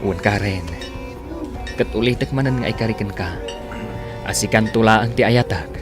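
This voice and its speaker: A man's voice: on the low side, moderate pace, natural voice. A man with an Ilocano accent playing an earnest, loving groom in a radio drama. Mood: devoted, earnest. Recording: radio drama with loud music bed.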